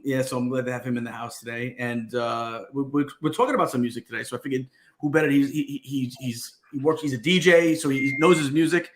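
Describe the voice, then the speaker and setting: A man co-hosts a relaxed podcast chat, joking and rambling as he restarts his sentences. His voice is mid-pitched, and he has just gotten over a cold. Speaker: podcast co-host.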